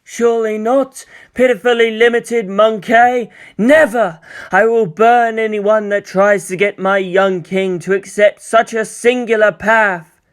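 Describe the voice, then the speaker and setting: Man putting on a haughty, high-pitched elven guardian. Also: fairly even intonation, unhurried, clear, resonant tone. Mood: indignant. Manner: imperious, indignant. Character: haughty, possessive alien elf guardian, scornful of humans.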